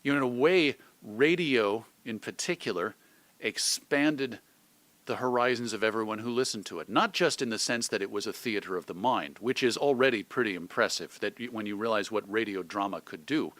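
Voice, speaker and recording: Middle-aged American male interviewee, clear bright edge to the voice, thoughtful and articulate, dry close sound. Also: lowish voice, normal speed, no room sound. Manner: reflective, articulate. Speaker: interview guest, radio host and editor.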